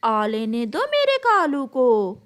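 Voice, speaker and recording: A woman voicing a quarrelsome old female crow mother-in-law, nagging and scolding in an animated moral story. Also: fairly high pitch, slow, exaggerated voice.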